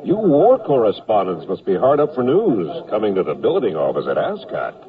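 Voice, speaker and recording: Pompous army major, tinny radio sound. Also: male voice, fairly low pitch, wildly swooping pitch, brisk. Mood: self-important, officious. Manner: officious, hesitant uhs, spelling things out emphatically. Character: pompous self-promoting army major, vain and easily riled. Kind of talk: 1970s radio comedy acting. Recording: tinny old radio broadcast, crowd noise behind.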